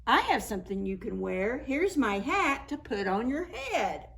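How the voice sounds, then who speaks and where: A woman voicing helpful, excited young children in a picture book, earnest and exclaiming. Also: measured pace, vocal fry, heavily acted. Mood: generous. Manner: high-pitched, exclaiming, earnest.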